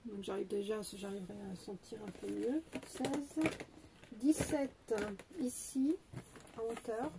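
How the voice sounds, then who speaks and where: Woman, young adult, stuffy from a cold, slow pace, fairly high voice, hesitant casual chat. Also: fairly even intonation, vocal fry, background noise. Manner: chatty, hesitant, many fillers and restarts. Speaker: beauty vlogger unboxing an advent calendar. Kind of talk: casual unboxing commentary.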